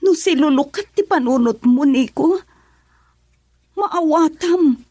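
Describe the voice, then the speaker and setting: A woman's voice: high-pitched, expressive, slow, dark tone, no echo, clean studio sound. A woman playing a young wife who is hurt and pleading with her husband in a radio drama.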